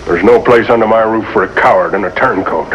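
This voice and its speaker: A man's voice: on the low side, a little fast, background noise, close to an everyday voice. An actor playing a stern, domineering middle-aged backwoods father, commanding and scornful, in a 1950s TV melodrama. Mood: angry, scornful. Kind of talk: 1950s American television melodrama acting. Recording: old TV soundtrack under loud music.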